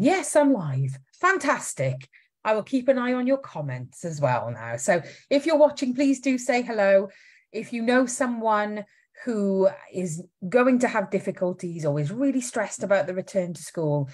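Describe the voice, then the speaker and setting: A woman from Wales whose Welsh accent has softened over the years. She hosts a casual live stream and talks in a chatty, lively way, greeting viewers and reading out their comments. She is speaking into a webcam or phone mic. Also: fairly low pitch. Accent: faint Welsh. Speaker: founder hosting a live stream.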